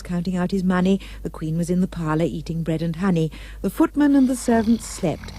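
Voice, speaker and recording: A woman's voice: fairly low pitch, dark tone, husky. A woman narrating a rhyming storybook tale for a puppet show with dramatic, emphatic delivery.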